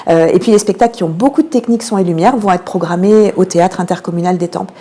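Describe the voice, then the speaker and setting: A woman giving explanatory answers as a guest in a radio interview, often pausing on drawn-out hesitations. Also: on the low side, mellow. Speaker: theatre programming manager, interview guest.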